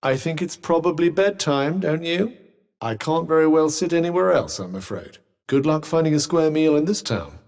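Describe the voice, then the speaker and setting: Game companion, sentient magic cuff: British, pompous and articulate, dry sarcasm, highly animated pitch. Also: male voice, normal speed. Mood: dry, sarcastic. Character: sardonic, haughty talking magical cuff, erudite male companion. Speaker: voice actor. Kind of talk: video game companion dialogue.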